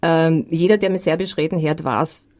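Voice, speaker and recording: A woman musician who is a guest on a radio show, speaking haltingly in the studio. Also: low-pitched, steady tone, darkish tone. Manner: very slow, halting speech. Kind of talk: radio interview.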